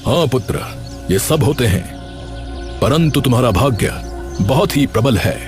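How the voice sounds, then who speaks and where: A man playing a solemn, mysterious old wandering sage in a cartoon story, speaking in measured, formal pronouncements. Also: mid-range voice, animated, natural voice. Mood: solemn, assuring. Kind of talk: Hindi cartoon story character dialogue. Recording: music behind the voice.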